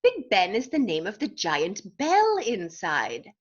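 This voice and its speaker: A woman's voice: mid-range voice, huge pitch swings, slow pace, lo-fi sound, heavily acted. A woman voicing a polite, proper male duck from a children's storybook, formal and matter-of-fact, with a British accent. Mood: politely informative.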